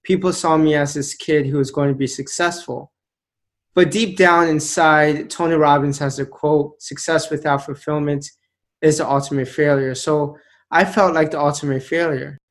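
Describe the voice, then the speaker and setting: A man in his late twenties, a motivational speaker telling his story as an interview guest, earnest and emphatic, slowing down to stress key phrases. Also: on the high side, steady tone. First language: an Indian language. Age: 20s.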